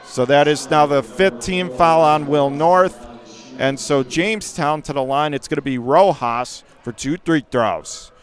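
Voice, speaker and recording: High-pitched man calling basketball play-by-play. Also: a little slow. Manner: clipped running phrases, swelling into excited calls during action. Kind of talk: live radio play-by-play sports commentary. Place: live from a high school basketball game.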